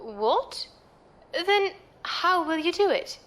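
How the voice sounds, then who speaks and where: A woman's voice: very high-pitched, big pitch swings, slow, fairly bright tone, full character voice. An actress playing a curious, playful female code breaker and pirate sidekick in an audio drama, with a questioning manner. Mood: puzzled, probing.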